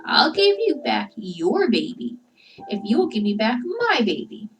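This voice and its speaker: An earnest, excitable dad from a children's storybook, played by a woman reader. The pitch swoops widely and the words are emphatic, with music behind. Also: a little slow. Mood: firm, bargaining. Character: Cheryl's father, earnest, excitable, cautioning dad. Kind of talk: children's storybook read-aloud.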